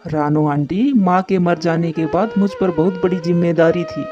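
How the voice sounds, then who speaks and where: A man's voice: sits high, steady tone, moderate pace, natural voice. A man voicing a dutiful young male cartoon bird, a caring and earnest older brother. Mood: earnest, concerned. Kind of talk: Hindi cartoon story voice acting. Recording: dull lo-fi voice track. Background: flute music.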